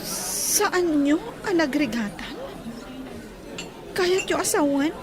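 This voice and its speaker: High-voiced modest Filipino student. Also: female voice, animated, slow pace, crowd noise. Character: modest, kindly young female student. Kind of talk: radio drama acting.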